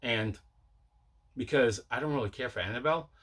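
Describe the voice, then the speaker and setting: Male vlog host, bright forceful voice, relaxed rambling unboxing chat with repeats. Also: medium pitch, fairly quick, breathy edge. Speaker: video host showing mail and movie collection.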